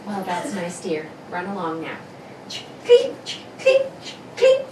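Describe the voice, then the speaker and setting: Woman acting lively, indulgent suburban mother. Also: fairly low pitch, takes it slowly, heavily acted. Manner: indulgent, gently teasing. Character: suburban mother, indulgent, amused, mildly patronizing. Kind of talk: radio drama acting. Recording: roomy, distant mic, faint noise.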